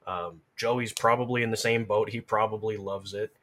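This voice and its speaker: Lowish-voiced man in casual discussion. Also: fairly even intonation, normal speed, a bit bright. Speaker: podcast co-discussant on game lore. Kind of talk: casual chat.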